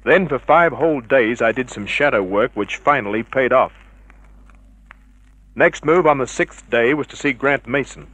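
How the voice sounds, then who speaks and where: An actor playing a cynical, unflappable private detective, terse and dry, in vintage radio drama style. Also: male voice, medium pitch, lively intonation, normal speed, fairly bright tone, rough recording. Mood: hard-boiled narrating. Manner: terse, hard-boiled, dry. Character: cynical, unflappable male private detective narrating his case.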